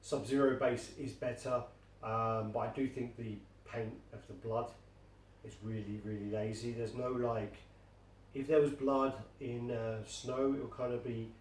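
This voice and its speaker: A man's voice: medium pitch, flat delivery, unhurried. A man co-hosting a casual statue review, hesitant and full of fillers, thinking aloud. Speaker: co-host. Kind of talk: casual chat. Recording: echoey room, mic at a distance, faint noise.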